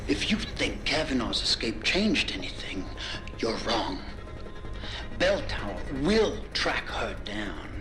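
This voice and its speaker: Menacing military villain over music. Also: male voice, medium pitch, rough recording. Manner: taunting, self-assured, clipped. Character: ruthless military commander villain, menacing, controlled. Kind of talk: video game dramatic acting. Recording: loud music behind the voice.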